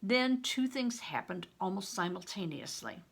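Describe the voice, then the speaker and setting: A woman's voice: medium pitch, very animated, unhurried, gravelly, husky. An older woman, a retired reading teacher, reading a story aloud with dramatic, emphatic expression.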